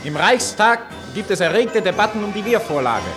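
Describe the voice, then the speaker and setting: A man's voice: sits high, sing-song, moderate pace, over music. A man giving emphatic, declamatory scripted narration in a newsreel-like radio style.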